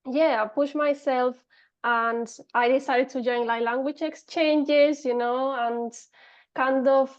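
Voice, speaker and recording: A woman's voice: sits high, fairly even intonation, slow pace, muffled recording. A Spanish-accented woman in her thirties, a podcast guest talking casually with many fillers and restarts. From Spain. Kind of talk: casual interview talk.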